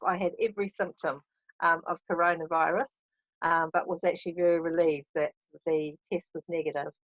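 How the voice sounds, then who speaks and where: A woman from New Zealand talks over a video call, her voice set a little low. She hesitates often, filling gaps with ums. The audio is dull and dry, with no room sound. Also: a bit bright, dry recording. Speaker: interviewee. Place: caravan at a beach.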